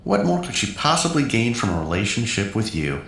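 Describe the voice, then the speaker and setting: A man's voice: lowish voice, sing-song, a little fast, gravelly, fairly bright tone, exaggerated voice. A man in an audio drama playing a smug, sardonic rival lover who swaggers and goads. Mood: taunting. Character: smug, taunting male rival lover, goading and lewd. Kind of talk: scripted audio drama acting. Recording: roomy echo, faint music.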